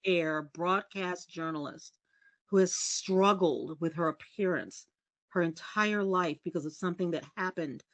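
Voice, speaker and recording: A middle-aged woman journalist from the US, telling candid stories as a podcast guest in a low, resonant voice. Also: measured pace, dry recording. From United States. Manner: lively, candid and self-deprecating, laughs often. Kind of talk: casual storytelling interview.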